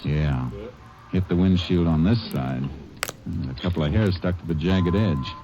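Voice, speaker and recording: An actor playing a calm, dogged male Texas Ranger who asks clipped, low-key questions, in a 1940s radio drama. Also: unhurried, over music. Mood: steady. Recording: hissy lo-fi vintage radio recording.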